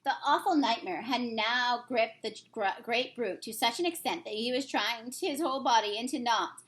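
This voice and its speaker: A woman reading a children's story aloud in expressive narration. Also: a little high, normal speed. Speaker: reader, teacher.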